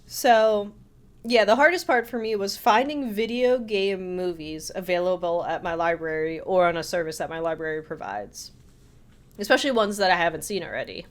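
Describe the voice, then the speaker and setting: Low-voiced woman chatting casually. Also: normal speed. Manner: conversational storytelling, voices quotes from others. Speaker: podcast co-host. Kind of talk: casual chat, telling anecdotes.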